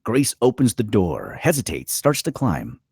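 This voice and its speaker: Deep, husky, expressive male narrator. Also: a little slow, dry recording, studio quality. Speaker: narrator reading stage directions. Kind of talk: scripted dramatic narration.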